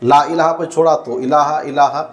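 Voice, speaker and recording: A man hosting a religious explainer, addressing viewers in a measured, didactic and cautioning way. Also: fairly high pitch, fairly even intonation. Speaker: host and religious commentator.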